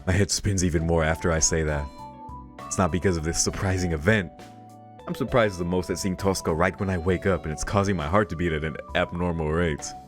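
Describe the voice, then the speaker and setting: A man hosting a let's play, reading visual novel narration aloud in a measured storytelling way. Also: low-pitched, steady tone. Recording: game music behind the voice. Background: game music.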